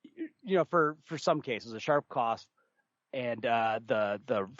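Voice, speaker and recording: A man talking casually as a podcast host, thinking aloud in a halting, conversational way. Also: mid-range voice, lively intonation, a bit bright, no echo. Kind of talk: casual chat. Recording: slightly dull, dry recording.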